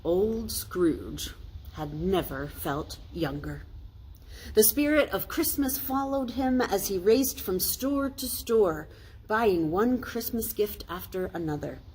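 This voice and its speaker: A woman pastor reading a storybook aloud in an expressive children's storytime style. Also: medium pitch, takes it slowly, husky, low-quality recording.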